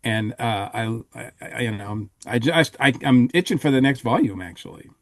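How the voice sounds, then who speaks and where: A man in a casual review discussion as a podcast panelist, talking haltingly with trailing restarts. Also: mid-range voice, dry recording, studio quality.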